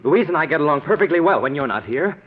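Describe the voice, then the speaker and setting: An actor playing a resentful, henpecked husband with simmering menace and strained politeness, in 1940s radio drama style. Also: male voice, on the high side, lively intonation, fast talker, close to an everyday voice. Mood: exasperated. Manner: tense politeness giving way to bitterness. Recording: tinny, noisy vintage 1940s radio recording.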